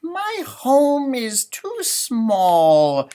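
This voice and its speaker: A dreamy, discontented rabbit from a children's storybook, played by a man reading aloud. He speaks in slow, drawn-out phrases, raised in pitch and full of dramatic swoops. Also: huge pitch swings, dark tone, heavily acted. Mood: sighing, cramped.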